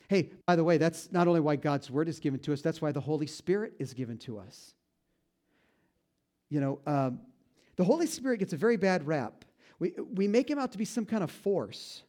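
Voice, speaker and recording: A man preaching a conversational sermon, joking at his own expense and slowing down to stress key points. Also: darkish tone. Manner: folksy, self-deprecating humor with frequent restarts; slows down and drops low for emphasis on key points. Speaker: pastor.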